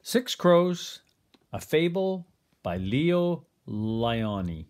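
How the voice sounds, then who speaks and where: An older man reads a children's picture book aloud as a grandfather would, with expressive, deliberate phrasing. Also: a little high. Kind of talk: storybook read-aloud.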